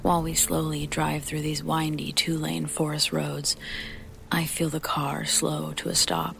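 A woman reading a first-person horror story as scripted narration, in a breathy, near-whispered, creaky voice, with music and rain behind her. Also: low-pitched, measured pace, fairly bright tone, a little hiss or hum.

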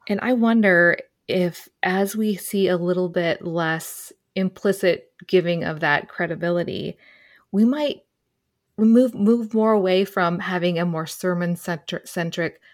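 Woman host, affirming lots of mm's, voice a bit low, thinks as she asks. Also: unhurried. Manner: thoughtful, affirming, frequent "mm"s. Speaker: podcast host. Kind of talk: podcast interview conversation.

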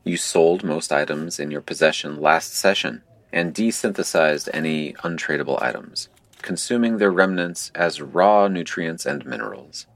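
Deep, ominous, impassive game operator. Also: male voice, gravelly, dry recording. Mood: deadpan. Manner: deliberate, deadpan, formal. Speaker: game narrator. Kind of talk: surreal audio drama narration.

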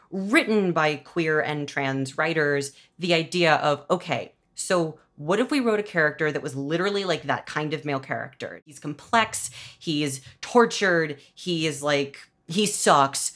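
Low-voiced woman in casual, analytical chat. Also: a bit bright. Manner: informal, wry, peppers speech with "like". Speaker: podcast interviewer and showrunner. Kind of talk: casual, analytical conversation about storytelling.

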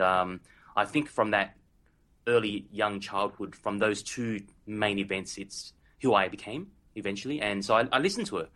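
A man in his forties with an Australian accent tells his story as an interview guest. His slightly low voice is bright and forceful, and he speaks earnestly with plenty of filler phrases. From Vietnam. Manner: earnest, leans on "literally" and "so to speak". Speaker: podcast guest.

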